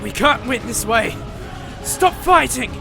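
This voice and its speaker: A solemn, commanding hero from an animated comic acted by a man. His voice sits very high for a male and carries the weight of orders. Music plays clearly behind. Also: slow, heavily acted. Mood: urgent, commanding. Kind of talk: dramatic motion comic voice acting.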